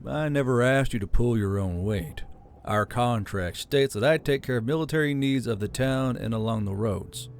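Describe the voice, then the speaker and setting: A man's voice: medium pitch, moderate pace, slight background noise, close to an everyday voice. A man reading as a blunt military commander.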